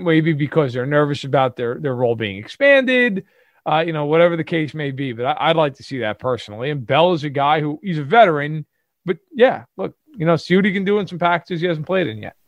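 A man's voice: fairly high pitch, animated, brisk, no echo, dull audio. A man co-hosting a sports podcast, giving emphatic opinions in casual talk full of fillers and restarts. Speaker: podcast co-host. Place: lives in Chicago.

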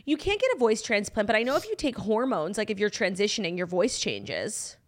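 A woman's voice: mid-range voice, brisk. Chatty young New York woman, casual podcast co-host. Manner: animated, swearing, heavy use of "like". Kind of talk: casual chat.

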